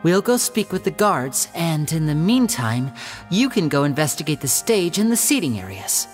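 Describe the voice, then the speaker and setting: An actor playing a polite, earnest young male stage magician in a video game, speaking in a courteous, measured and gently theatrical way. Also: on the high side, big pitch swings, faint music behind. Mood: wistful, proud.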